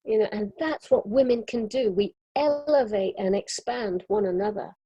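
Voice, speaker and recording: A European woman in an earnest, expressive conversation about spirituality, often laughing as she talks, on a video call. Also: medium pitch, normal speed, slightly rough, dry recording, lo-fi sound. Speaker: summit guest.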